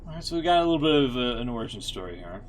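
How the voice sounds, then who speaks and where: A man's voice: medium pitch, sing-song, racing. A man streaming casual, unscripted commentary, informal and rambling as he thinks aloud. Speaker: streamer reacting to game story readings. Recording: faint music behind the voice. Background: music.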